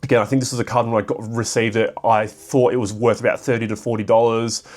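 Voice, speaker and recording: Raspy Australian man with a cold. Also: mid-range voice, level tone, quick pace, darkish tone. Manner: rambling, filler-heavy, thinking aloud. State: hoarse from a cold. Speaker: card shop vlogger. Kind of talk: casual solo commentary.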